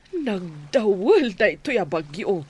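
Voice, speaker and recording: A woman's voice: mid-range voice, very animated, slow. A woman playing a fearful, distressed woman caught in a storm in a radio drama. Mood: dismayed. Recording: faint storm-like background noise.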